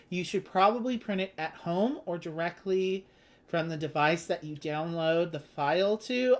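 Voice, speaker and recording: A host casually teaching and playing through a board game, chatty and informal, with enthusiastic asides. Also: medium pitch.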